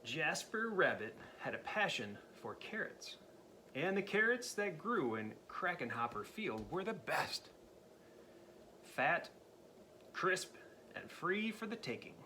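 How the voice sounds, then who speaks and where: Guy doing a spooky storybook read-aloud for a kid, bright voice, goes whispery then loud, real playful. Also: fairly high pitch, very animated, slow, a little hiss or hum. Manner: dramatic, drops to spooky whispers and jumps to loud cries; playful asides to the listening child. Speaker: parent reading a picture book aloud to a child.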